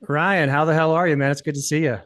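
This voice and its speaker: A man in his forties from the United States, chatting as a podcast guest in a relaxed, rambling way, with many fillers. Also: fairly high pitch, fast. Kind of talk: casual interview chat.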